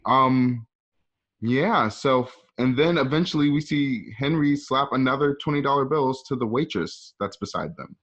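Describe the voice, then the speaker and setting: A man's voice: mid-range voice, expressive, normal speed, fairly bright tone, dull audio. A man narrating scene directions informally, with casual conversational asides. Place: at home.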